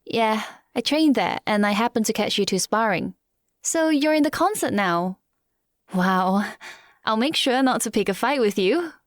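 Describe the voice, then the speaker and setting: A woman's voice: on the high side, expressive, a little slow, dry recording, clean studio sound. A woman playing a friendly, upbeat young dancer in an audio drama. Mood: friendly, impressed.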